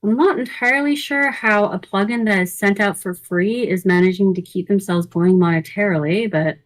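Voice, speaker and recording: A woman's voice: medium pitch, normal speed. A woman co-hosting a podcast, running through news items in casual, conversational talk. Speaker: podcast co-host.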